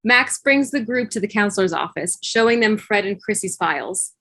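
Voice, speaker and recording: A woman narrates stage directions from a script. Her voice sits at a middle pitch, and the sound is close and dry, with no room around it. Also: lively intonation, normal speed, fairly bright tone, dry recording, poor audio. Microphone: video call.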